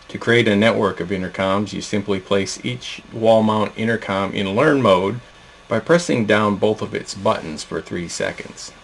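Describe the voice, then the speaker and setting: A man's voice: on the low side, gravelly, poor audio. A man giving an instructional product demo narration in a plain, explanatory way. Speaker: product presenter.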